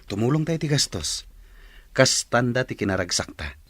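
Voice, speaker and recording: An actor with an Ilocano accent playing a generous, respected village gentleman in a radio drama. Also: mid-range voice, very animated, moderate pace, no room sound. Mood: warmly agreeable. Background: music.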